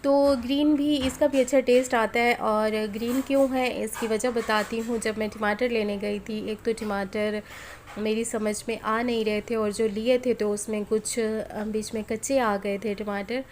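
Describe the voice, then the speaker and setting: A woman's voice: fairly high pitch, fairly even intonation, measured pace, a little mellow. A woman in her thirties from Pakistan, with a sore, strained throat from flu, chatting casually through a home cooking voice-over and talking straight to viewers. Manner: chatty, homely, addresses viewers directly. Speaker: cooking vlogger. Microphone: voice-over recorded at home. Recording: faint background noise at home. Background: street and household noise.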